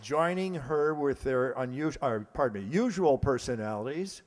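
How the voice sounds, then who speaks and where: A man's voice: medium pitch, lively intonation, normal speed, a faint crowd. An American man working as a stage announcer, formally presenting a cast with the odd stumble and self-correction. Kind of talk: live curtain-call cast introductions. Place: live stage performance in a hall. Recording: echoey hall, audience noise, clicks.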